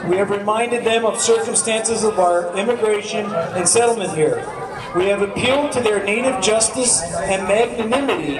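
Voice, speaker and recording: An American man reciting and speaking publicly to a gathering. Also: high-pitched. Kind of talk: public recitation and address to a crowd. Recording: echoey, distant mic amid crowd noise.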